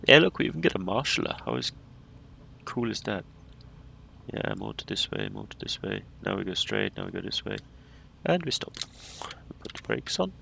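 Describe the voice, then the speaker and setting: A man's voice: low-pitched, wildly swooping pitch, normal speed, gravelly, pauses often, pushed and bright, slight background noise. A man giving casual running commentary while flying a simulated plane, calling out checklist steps with playful asides. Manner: talks through checklists and landings step by step, playful asides. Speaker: flight simulator streamer.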